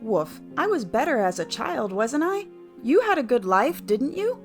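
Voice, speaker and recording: A woman's voice: sits high, sing-song, normal speed, soft background music. A woman playing a prickly, bewildered newcomer to the afterlife in an audio play, exclamatory in manner. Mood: uneasy, regretful.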